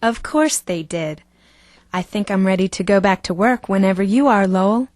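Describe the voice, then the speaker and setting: A woman's voice: mid-range voice, animated, moderate pace, a little mellow, dry recording, studio quality, lightly acted. An actress playing a cool, self-possessed, seductive woman of the stage in an audio drama, theatrical and self-assured. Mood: composed.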